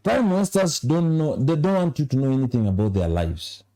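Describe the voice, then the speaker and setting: Animated Kenyan man giving advice. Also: mid-range voice, normal speed. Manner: earnest, lecturing, acts out little dialogues. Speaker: studio guest giving relationship advice. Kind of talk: TV morning show talk. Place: TV studio.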